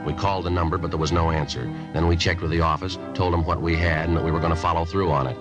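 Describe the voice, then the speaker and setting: An actor playing a stoic, methodical American police detective, narrating a radio crime drama in terse, deadpan, matter-of-fact phrases. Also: male voice, deep voice, fast talker, music in the background, lightly acted. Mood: flat, factual.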